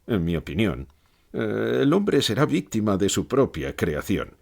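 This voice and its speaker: A man's voice: fairly low pitch, very animated, a little slow, creaky, heavily acted. A man playing a gloomy old philosopher who gives grave, pessimistic answers in a scripted interview.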